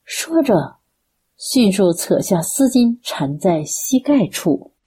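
A woman's voice: mid-range voice, big pitch swings, slow pace, mellow, husky. A woman reading scripted narration for a Chinese radio drama.

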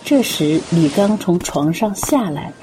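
一位女性旁白，照着稿子讲述广播剧故事。. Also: female voice, lowish voice, animated, measured pace, warm tone, faint music behind. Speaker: narrator. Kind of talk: scripted narration of an audio drama.

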